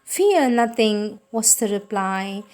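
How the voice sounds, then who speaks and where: A woman reading spiritual writing aloud in a solemn, measured and formal manner. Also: medium pitch, lively intonation, a little mellow. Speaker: reader of devotional writings.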